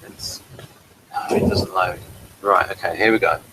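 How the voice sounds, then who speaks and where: A man's voice: low-pitched, steady tone, measured pace, heavy background noise. A man hosting a review show, reading aloud from an episode guide and breaking off for informal, off-the-cuff remarks.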